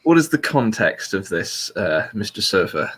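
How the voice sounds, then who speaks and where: A man's voice: fairly low pitch, sing-song, moderate pace, husky. A man hosting a casual, humorous chat in a wry, jokey manner. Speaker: host.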